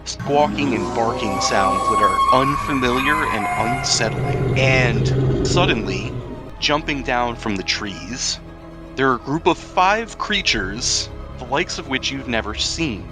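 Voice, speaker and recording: A man's voice: medium pitch, animated, a little slow, loud music behind the voice. A man improvising narration as the game master of a tabletop role-playing session.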